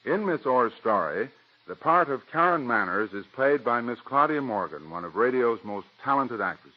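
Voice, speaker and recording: A dull vintage radio recording of an American man with a mid-pitched voice. He reads a formal scripted introduction as a 1940s drama host. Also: expressive, moderate pace. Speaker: director and host.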